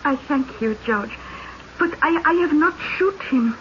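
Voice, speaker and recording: Radio drama role: naive, wounded immigrant woman, Spanish accent, high voice, dull vintage recording with light noise. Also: lively intonation. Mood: meek, earnest. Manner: halting, simple foreign-tinged phrasing. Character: frightened, abused immigrant woman, gentle, haunted, naive. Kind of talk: old-time radio drama acting.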